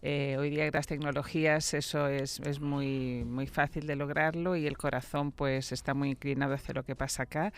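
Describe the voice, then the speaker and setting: Smooth-voiced woman, reflective radio guest. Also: very deep voice, fairly even intonation. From Venezuela. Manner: reflective, measured, with repeated words. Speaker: political scientist guest. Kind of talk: radio interview answers.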